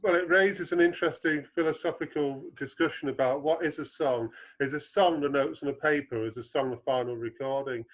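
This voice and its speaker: Man, guest author, baritone, long careful explanations, dull lo-fi sound. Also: steady tone, a little fast, few pauses. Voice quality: low baritone voice. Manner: explains at length with homely comparisons. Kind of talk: podcast interview answer.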